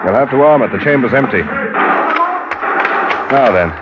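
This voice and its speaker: An actor playing an urbane planter in a radio adventure, formal and wry. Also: male voice, mid-range voice, lively intonation, moderate pace, close to an everyday voice. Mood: wry, brisk. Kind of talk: 1950s radio drama acting. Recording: noisy, dull old radio recording.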